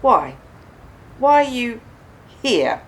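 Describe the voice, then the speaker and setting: An actress in a radio play voicing an embittered, grieving widow who speaks with accusing, pointed questions. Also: female voice, fairly high pitch, big pitch swings, very slow, slight background noise, heavily acted. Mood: cold, accusing. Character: embittered grieving widow confronting her husband's killer.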